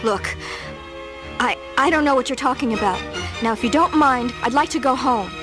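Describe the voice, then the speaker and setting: Woman acting a high-voiced young captive princess. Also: moderate pace, over music, lightly acted. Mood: anxious, defiant. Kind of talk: audio drama acting.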